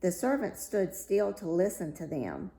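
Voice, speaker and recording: A woman reading a fairy tale aloud as a storyteller, with deliberate, dramatic phrasing. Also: on the low side, big pitch swings, creaky, dark tone. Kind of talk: expressive read-aloud fairy tale narration. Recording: roomy, echoey room sound.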